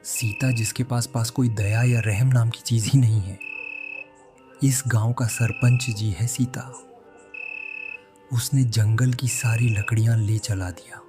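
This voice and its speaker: A man's voice: mid-range voice, fairly even intonation, a little slow, close to an everyday voice. Man playing anguished poor village woodcutter, music behind. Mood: bitter. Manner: pleading, lamenting, repeats himself. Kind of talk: melodramatic Hindi moral-story cartoon acting.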